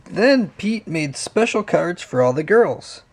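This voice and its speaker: A man narrating a children's read-along story in an expressive, swooping storytelling manner. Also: high voice, takes it slowly.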